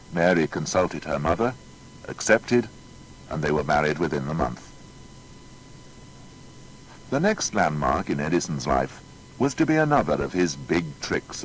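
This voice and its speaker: A low male voice reads scripted biographical narration. His storytelling is measured but melodically varied. A faint rumbling noise runs underneath. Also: low-quality recording.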